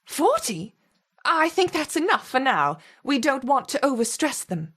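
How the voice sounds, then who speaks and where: Commanding female game supervisor: formal, clipped, impatient, high voice with lively inflection. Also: unhurried, exaggerated voice. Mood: stern. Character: brisk, authoritative female project supervisor, coolly impatient. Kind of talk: acted video game dialogue.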